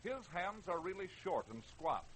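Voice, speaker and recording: A man's voice: high voice, big pitch swings, normal speed, fairly bright tone. A man narrating a scripted radio programme in dramatic 1940s style, on a noisy vintage recording.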